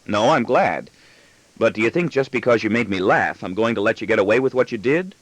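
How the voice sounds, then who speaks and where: A man's voice: lowish voice, lively intonation, a little fast, a bit bright, no echo, poor audio. A man playing an exasperated, sardonic father with firm, wry retorts in an audiobook story. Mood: wry, sarcastic. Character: exasperated, sardonic father sparring with his son.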